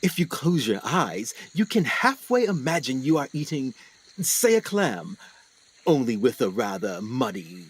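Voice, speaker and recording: An actor playing a 1920s explorer in a radio play, speaking in a deliberate, formal, dramatic way. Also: male voice, medium pitch, very animated, breathy edge. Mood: firm, dry. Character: measured 1920s explorer telling his jungle tale.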